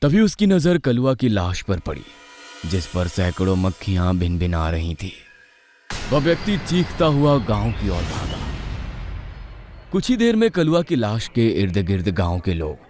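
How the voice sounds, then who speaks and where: Male horror narrator: low voice, dramatic scripted read, lively pitch movement, over music. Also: measured pace. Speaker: storyteller.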